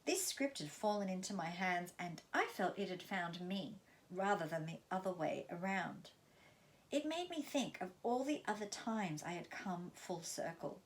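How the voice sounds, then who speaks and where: A woman from London, reading her own memoir aloud in an expressive storytelling way. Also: on the low side, moderate pace. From London, England.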